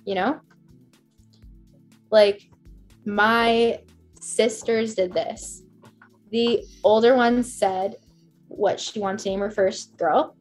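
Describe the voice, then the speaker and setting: A woman's voice: medium pitch, steady tone, extremely slow, long pauses, a little mellow, faint music behind. Chatty young woman, heavy with "like". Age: 20s. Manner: chatty, rambling, heavy use of "like". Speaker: podcast guest. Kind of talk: casual podcast chat.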